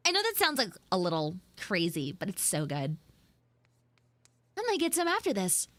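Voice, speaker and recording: A woman's voice: mid-range voice, huge pitch swings, normal speed, fairly bright tone, no echo, pitched below the performer's own voice. A woman voicing a flustered, shyly smitten tsundere schoolgirl in a live audio roleplay, hesitant and coyly defensive. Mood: flustered, chatty.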